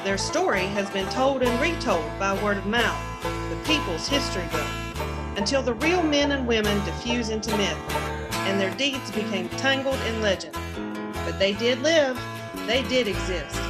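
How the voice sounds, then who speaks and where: A woman's voice: fairly high pitch, animated, moderate pace, loud music behind the voice. A woman giving scripted, storytelling narration for a historical radio drama.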